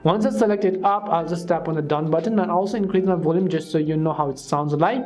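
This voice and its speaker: A man hosting a tutorial channel, calmly walking through app steps one by one in a scripted voice-over. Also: a little high, fast talker, soft background music. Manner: steady step-by-step instructions with filler phrases. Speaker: tutorial host. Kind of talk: scripted screen-recording tutorial.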